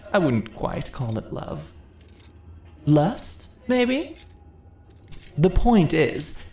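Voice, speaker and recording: A woman's voice: low-pitched, warm tone, full character voice. A woman playing a sly, commanding immortal dungeon mistress in a fantasy ASMR roleplay, teasing and condescending in a theatrically persuasive way. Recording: echoey room with faint noise.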